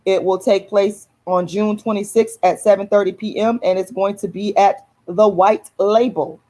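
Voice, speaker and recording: A woman's voice: medium pitch, a little mellow. A woman, casually announcing an event to viewers, repeating herself and addressing them as y'all. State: hoarse. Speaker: activist host of her own channel.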